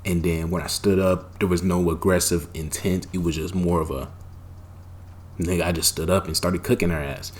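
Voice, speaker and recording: Deep-voiced young man telling a story. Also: normal speed. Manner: animated, profane slang-heavy retelling with loud bursts. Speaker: storytime vlogger. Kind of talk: casual storytelling.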